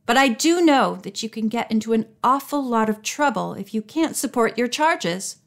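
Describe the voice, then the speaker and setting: Woman doing a sensible girl detective from a storybook, highish voice, polite but firm. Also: animated, moderate pace, gravelly. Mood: calm, firm. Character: level-headed, earnest girl detective.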